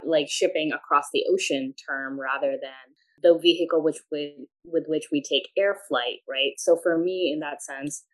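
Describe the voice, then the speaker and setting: A woman with a notably low voice, born in Pakistan, speaking as a guest professor. She answers in a measured, thoughtful academic manner. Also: rough recording. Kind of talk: academic interview.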